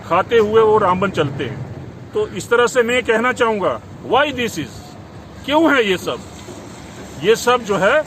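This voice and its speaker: A man's voice: high-pitched, fairly bright tone, low-quality recording. A man making an impromptu, insistent political complaint as a former legislator, repeating and listing his points. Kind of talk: impromptu outdoor political statement to camera. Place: outdoors beside a road. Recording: clear outdoor noise with passing vehicles.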